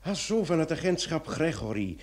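An actor playing a formal, dryly probing police commissioner in a radio play, measured and sometimes hesitant. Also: male voice, fairly high pitch, very animated, darkish tone, a little hiss or hum. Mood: businesslike. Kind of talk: old radio play acting.

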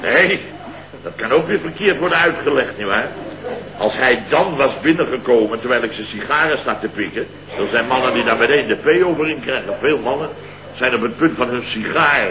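An actor playing a flustered, indignant man who tells a farcical tale of woe in halting, repetitive bursts, in a radio comedy. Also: mid-range voice, a crowd in the background, close to an everyday voice. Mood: aggrieved. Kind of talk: comic radio play dialogue. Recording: dull, noisy early-1970s radio recording.

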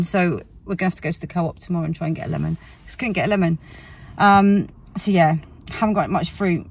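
British female vlogger, 40s, lower husky voice, casual and meandering, recorded slightly off-mic in a car. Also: darkish tone, no room sound, distant mic. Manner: rambling, word-searching, self-correcting. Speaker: family vlogger. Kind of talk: casual chat to camera. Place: inside a parked car.

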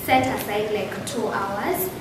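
A woman's voice: medium pitch, slow pace, distant mic. A young woman with a Kenyan accent gives an earnest motivational talk to students, with frequent ums. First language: Swahili or a local Kenyan language. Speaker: alumna speaker at a campus talk. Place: indoor university hall. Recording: echoey room, voice from afar, loud noise.

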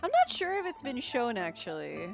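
A woman from the Philippines giving chatty, expressive reactions as she livestreams a game. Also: a little high, moderate pace, vocal fry. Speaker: game streamer. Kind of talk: casual livestream reaction commentary. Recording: game music behind the voice.

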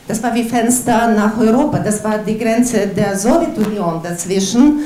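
A woman's voice: medium pitch, warm tone. A mature woman from Ukraine with a Ukrainian accent, speaking German as a second language, giving a personal comment from an audience. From Lviv, Ukraine. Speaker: audience member. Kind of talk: personal comment at a public lecture. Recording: roomy, distant room sound with noise.

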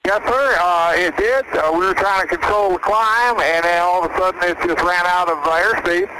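Male private pilot, high-pitched, halting and shaken, heard through radio with clear aircraft noise. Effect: aviation radio. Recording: very noisy cockpit radio transmission. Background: aircraft noise and static.

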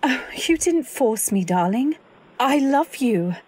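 A woman's voice: sits high, lively intonation, slow, a little mellow, husky, full character voice. A woman playing an anxious, devoted wife who narrates her story in dramatic old-time radio style. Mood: anxious, pleading. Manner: dramatic, earnest delivery. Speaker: narrator and actor.

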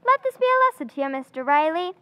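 A woman's voice: high voice, sing-song, fast, fairly bright tone, big-room sound. A woman playing a cheeky teenage girl in a recreated old-time radio comedy. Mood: mocking. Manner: sassy, teasing. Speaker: actor in a radio play.

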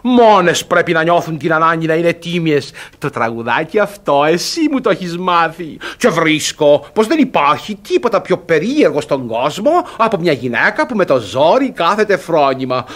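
An actor playing an agitated, self-satisfied, mocking brother in a classic farce, in a theatrical radio style with sarcastic, emphatic exclamations. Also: male voice, fairly high pitch, very animated, hardly any pauses, fairly bright tone. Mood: gloating, mocking. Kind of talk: theatrical Greek radio comedy acting.

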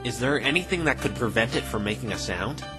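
A man voicing an earnest, bemused defense lawyer in a fan dub, asking measured questions with dry puzzlement. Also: medium pitch, animated, lightly acted. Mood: bemused, questioning. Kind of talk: fan dub voice acting of a visual novel. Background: music.

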